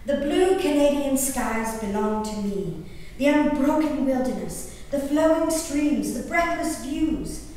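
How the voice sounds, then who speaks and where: A distant, heavily reverberant hall recording with some hiss carries a woman acting a colonial-era gentlewoman. Her voice sits fairly high, and she delivers her diary as a stage monologue, earnest and emotive, with formal, theatrical diction. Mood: wistful gratitude. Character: grieving, nature-loving 18th-century colonial gentlewoman reading her diary. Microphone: distant room microphone. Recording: very echoey hall, distant mic, background hiss.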